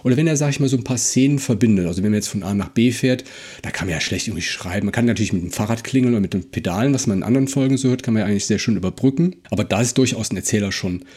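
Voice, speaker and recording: A man co-hosting a podcast in casual discussion, speaking in a halting, reflective way and repeating words. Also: fairly low pitch, darkish tone, breathy edge. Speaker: podcast co-host.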